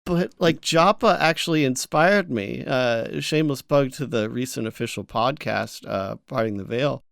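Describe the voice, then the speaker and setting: A man chats casually as a podcast co-host. His voice is smooth and clean at a middling pitch, recorded dry without room echo, and he feels his way through sentences with restarts. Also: normal speed, fairly bright tone.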